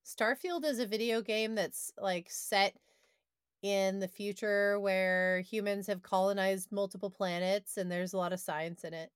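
A woman's voice: mid-range voice, fairly even intonation, unhurried, clean tone, dry recording, studio quality. A woman in a casual podcast group chat, joking at her own expense and swearing freely. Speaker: podcast co-host.

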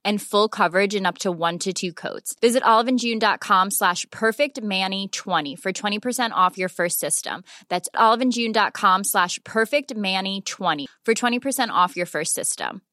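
A woman's voice: mid-range voice, normal speed, hardly any pauses, sharp tone, no echo, clean studio sound. A woman reading a scripted advertisement.

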